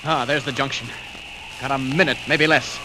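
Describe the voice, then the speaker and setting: An actor playing a confident, resolute superhero who thinks aloud in clipped phrases in an old radio serial. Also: male voice, mid-range voice, fairly even intonation, close to an everyday voice. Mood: intent, resolute. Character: heroic, resolute male superhero, brisk and confident. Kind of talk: 1940s radio serial acting. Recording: very noisy old radio recording, rushing sounds.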